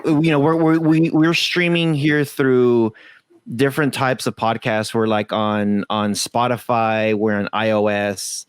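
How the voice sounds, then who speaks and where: A male podcast host introduces his guest casually, tripping over his words and restarting. His voice sits at an ordinary pitch for a man. The sound is dry and close, with no room around it. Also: little pitch movement, unhurried, smooth, no room sound. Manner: stumbling, repeats words. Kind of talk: casual interview talk.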